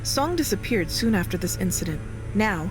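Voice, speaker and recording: A news reporter played by an actor gives a scripted report on a standoff in a video game. The voice is mid-pitched, with music clearly audible behind it. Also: very animated, moderate pace, natural voice, low-quality recording. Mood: urgent, factual.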